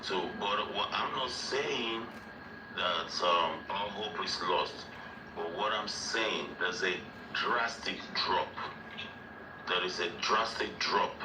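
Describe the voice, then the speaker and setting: Man in his forties, very low voice, deliberate and emphatic, roomy call audio with noise behind. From Nigeria. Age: 40s. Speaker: call-in guest. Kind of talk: casual group discussion. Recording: roomy distant online call with background noise.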